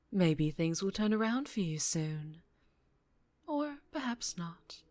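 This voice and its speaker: A woman acting as a dangerously charming mafia boss in an ASMR roleplay, teasing and softly threatening, unhurried, slipping into whispers. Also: low-pitched. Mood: teasing, confiding.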